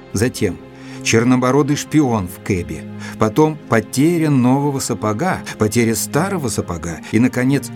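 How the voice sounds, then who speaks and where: Composed gentleman narrator over music played by man. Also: mid-range voice, close to an everyday voice. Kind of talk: scripted dramatic narration.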